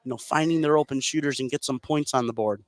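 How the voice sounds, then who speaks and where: A man's voice: medium pitch, fast talker, no echo. A man doing live play-by-play basketball commentary for radio, lifting his voice as the action builds. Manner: rises in excitement on shots and steals.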